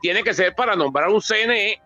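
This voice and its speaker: A man with a Venezuelan accent speaks in a high, bright and forceful voice. He gives impromptu political commentary in an emphatic, oratorical way, stacking up repetitions. The sound is dry. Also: expressive, fairly quick, no room sound, muffled recording, soft background music. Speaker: political analyst and author speaking at a book presentation.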